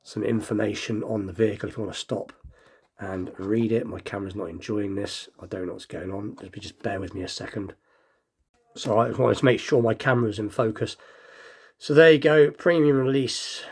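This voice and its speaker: A man's voice: lowish voice, steady tone, moderate pace, breathy edge. A man with a slightly nasal, stuffed-up voice from a cold, chatting casually and thinking aloud as he hosts a hobby unboxing video.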